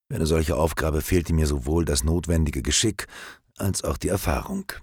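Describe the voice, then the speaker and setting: Deep, gravelly male audiobook narrator. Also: no room sound, studio quality. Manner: measured, expressive reading. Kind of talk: scripted first-person narration.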